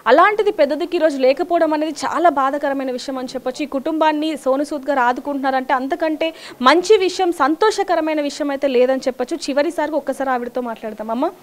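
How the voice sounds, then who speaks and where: A woman's voice: sits high, quick pace. A woman reporting live news from the field in a wordy, emphatic way.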